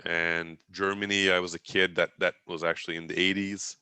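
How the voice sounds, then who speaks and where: A man's voice: low-pitched, little pitch movement, moderate pace, pushed and bright, clean tone, no echo. A man in his forties from Turkey, Turkish his first language, recounting his life in a rambling, conversational way with many restarts. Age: 40s. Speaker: guest. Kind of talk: casual interview talk.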